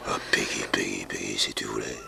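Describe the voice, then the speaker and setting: An actor playing a naive, boastful, lovestruck small-time crook who flusters easily, in a 1960s French radio crime drama. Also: male voice, very deep voice, fairly even intonation, fairly quick, creaky, exaggerated voice. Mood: smitten. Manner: bragging, easily flustered, pleading when threatened. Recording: faintly noisy vintage radio recording.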